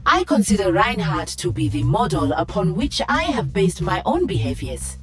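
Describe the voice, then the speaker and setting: Earnest, melodic guardian robot. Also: female voice, medium pitch, huge pitch swings, moderate pace, soft background music. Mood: polite, earnest. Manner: formal, precise, stilted robotic phrasing. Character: female guardian robot, earnest, polite, dutiful young protector. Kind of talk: video game voice lines.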